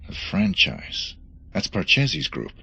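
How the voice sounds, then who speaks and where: An actor playing a cold, laconic assassin in a video game, terse and deadpan with a gravelly voice. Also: male voice, low voice, a little slow, highs cut off, exaggerated voice. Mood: cool, flat.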